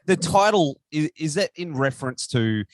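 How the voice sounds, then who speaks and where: Lively man hosting a podcast. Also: fairly high pitch, moderate pace, dry recording, clean studio sound. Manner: enthusiastic, chatty, rambling questions. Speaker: podcast host. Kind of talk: casual interview chat.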